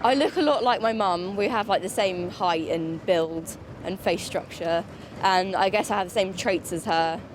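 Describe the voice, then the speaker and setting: Woman interviewed amid clear street noise. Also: medium pitch, moderate pace. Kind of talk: casual interview answers. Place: outdoors in a city. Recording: clear outdoor urban traffic noise.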